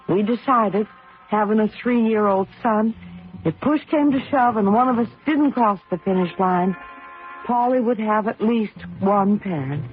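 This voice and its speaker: An actress playing a confident young wife and mother who races cars, in a radio drama with some first-person narration. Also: mid-range voice. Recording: noisy, tinny old radio broadcast.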